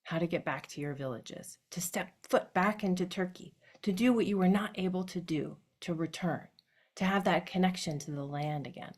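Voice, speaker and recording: Woman, solo monologue performer, low-pitched, reflective and direct. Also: normal speed, darkish tone. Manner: reflective, addressing her late grandfather directly.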